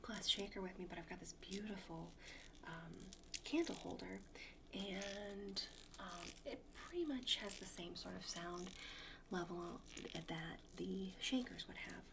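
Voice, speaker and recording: A woman playing a polite, hesitant presenter in a soft-spoken ASMR roleplay, breathy and often near-whispering into close microphones. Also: fairly low pitch, unhurried, background noise. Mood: soft, tentative. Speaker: ASMR performer. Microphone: close sensitive ASMR microphones. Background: tapping and clicking of handled objects.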